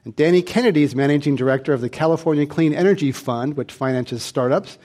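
A man hosts a panel discussion on stage before a live audience. He reads his introductions in a clear, measured way. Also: fairly high pitch, a little mellow. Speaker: host.

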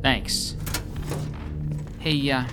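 A man's voice: mid-range voice, drawn-out words, over music. An actor playing a slangy, grumbling, middle-aged male New York lackey in an audio horror drama. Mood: nervous.

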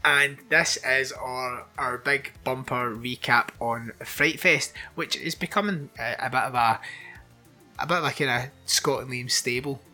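A man hosting a podcast with a very bright, cutting voice. He teases his co-host with quick asides and laughs easily. A faint music bed runs underneath. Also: medium pitch. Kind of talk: casual chat.

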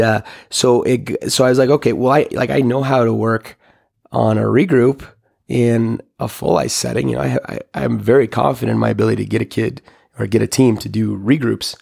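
Hoarse, gravelly man reflecting. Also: medium pitch, a little mellow. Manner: rambling, many fillers and restarts. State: hoarse, recovering lost voice. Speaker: hockey coach. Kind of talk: casual reflective monologue.

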